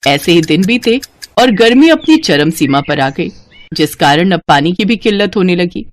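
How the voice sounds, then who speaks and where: A woman's voice: lowish voice, lively intonation, normal speed. A woman storyteller reading a scripted moral story as narrator.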